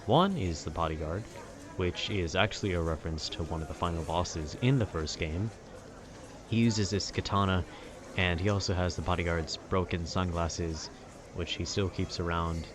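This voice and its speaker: A man in a calm, casual, nerdy ramble about video games, meandering and often interrupting himself. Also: low voice, normal speed, a crowd in the background. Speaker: solo audio creator rambling to the listener. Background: urban ambience.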